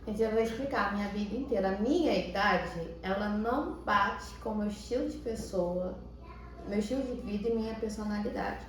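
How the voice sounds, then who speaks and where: A woman's voice: mid-range voice, a little fast. A middle-aged Brazilian woman co-hosting a podcast in casual, playfully teasing chat. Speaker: podcast co-host. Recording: echoey room, distant microphone.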